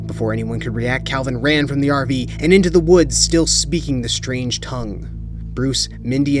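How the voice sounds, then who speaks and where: Man narrating horror over music. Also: medium pitch. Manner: dramatic, deliberate storytelling. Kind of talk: scripted spooky story narration. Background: music bed under the voice.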